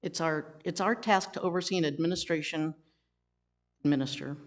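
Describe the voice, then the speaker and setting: A woman with a slightly low voice chairs a community committee meeting. She reads formal procedures aloud in an even, businesslike way. She drops in teasing jokes for the room. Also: normal speed.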